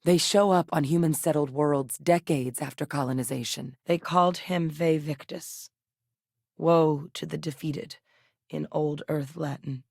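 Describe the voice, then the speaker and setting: An actress playing a steady, determined female military scientist in a video game, with a serious, matter-of-fact manner. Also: low voice, a little slow, vocal fry, breathy edge, no room sound, studio quality, full character voice. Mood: measured, serious.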